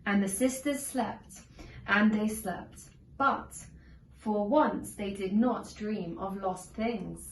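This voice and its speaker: A woman narrating a children's storybook aloud in a measured, deliberate storytelling manner. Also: medium pitch. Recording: echoey room, distant from microphone.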